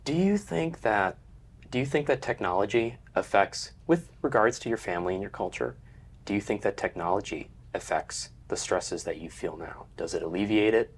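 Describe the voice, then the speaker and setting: A man with a very deep, low voice leads a relaxed talk show, posing careful, open questions. His phrases often sink into near-whispers. A faint noise floor sits under the recording. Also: moderate pace. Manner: thoughtful, trails into quiet whispery endings. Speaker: host. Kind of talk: casual discussion, asking questions.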